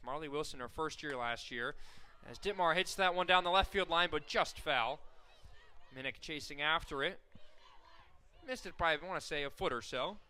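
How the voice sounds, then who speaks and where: Bright-voiced radio play-by-play man. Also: on the high side. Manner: steady, fact-filled call that rises sharply on big plays. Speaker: play-by-play announcer.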